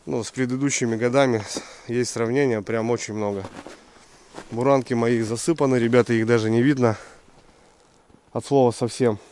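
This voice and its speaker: A man's voice: medium pitch, fairly even intonation, normal speed, darkish tone, slight background noise. Man vlogging outdoors, getting over a cold. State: recovering from a cold. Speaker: fishing vlogger presenting a gear review. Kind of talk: casual outdoor vlog. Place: outdoors in deep snow by a garage.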